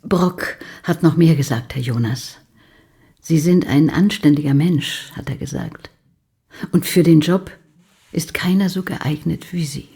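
Low, husky, composed woman. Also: dark tone, heavily acted. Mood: quietly insistent. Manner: controlled, deliberate, insistent. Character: composed, strong-willed female client of a detective. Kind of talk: German radio play acting.

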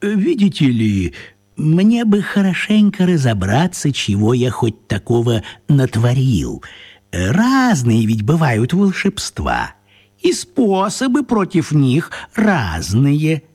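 An actor playing a fussy, apologetic, absent-minded wizard in a children's radio play, hesitant, rambling and fussily polite. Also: male voice, fairly high pitch, huge pitch swings, takes it slowly, darkish tone, slightly rough, heavily acted. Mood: apologetic, flustered.

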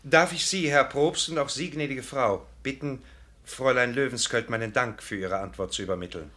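Actor as a bright, forceful formal gentleman. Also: medium pitch, moderate pace, close to an everyday voice. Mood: stiffly polite. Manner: formal, clipped, stiffly courteous. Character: formal, brisk gentleman ironworks owner and suitor. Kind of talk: German literary radio drama acting.

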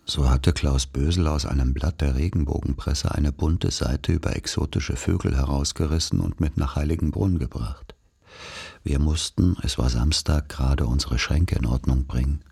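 A man playing a wry narrator who recalls his boarding-school boyhood, in measured literary radio play narration. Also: a bass voice, creaky, dark tone, husky, full character voice.

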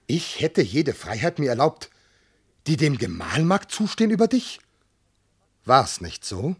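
An actor playing a jealous, tormented noble commander and husband in classical verse radio drama, declaiming intensely. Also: male voice, mid-range voice, big pitch swings, measured pace, breathy edge, exaggerated voice. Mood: agitated. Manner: intense declamation, from hushed to outbursts.

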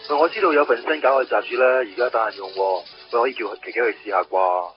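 A man's voice: mid-range voice, fast talker, close to an everyday voice. An actor playing a chatty, nosy and helpful young male friend in a radio drama. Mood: casual helpful. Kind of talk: Cantonese radio drama acting. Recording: dull radio drama recording with music.

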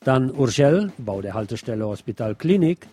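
A man's voice: mid-range voice, big pitch swings, normal speed, mellow, breathy edge. A man presenting scripted news as a commentator, with a sardonic, ironic manner. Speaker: presenter of a local news programme.

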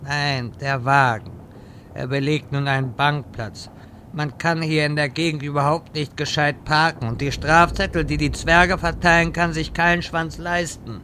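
A man's voice: fairly high pitch, level tone, a little slow, sharp tone, slight background noise. A man playing an irritable, sardonic wizard who lectures his companions in a German audio drama parody. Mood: matter-of-fact. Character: exasperated, sarcastic male wizard guiding bumbling adventurers.

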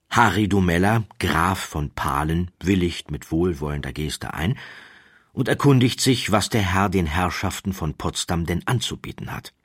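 Very deep, husky male storyteller. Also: a bit bright, no echo, clean studio sound. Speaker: audiobook narrator. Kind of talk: scripted narration, literary storytelling.

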